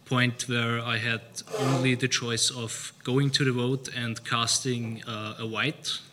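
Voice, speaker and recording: A man in the audience of a lecture, commenting hesitantly with many pauses and fillers. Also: mid-range voice, little pitch movement, noisy background. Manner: hesitant, many fillers and restarts, slightly non-native phrasing. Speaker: audience member asking a question. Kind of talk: halting spoken comment at a lecture Q&A.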